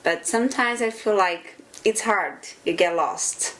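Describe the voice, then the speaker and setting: A middle-aged woman from Brazil with a Brazilian Portuguese accent, Portuguese being her first language, giving reflective, earnest interview answers and pausing to search for words. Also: medium pitch, a bit bright, rough recording.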